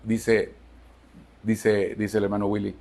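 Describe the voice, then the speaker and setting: A man's voice: on the low side, completely flat delivery, takes it slowly, a little mellow. A Mexican man in his sixties preaching a Sunday sermon to his church, emphatic, asking the congregation questions and answering them himself. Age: 60s. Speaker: pastor. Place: church service.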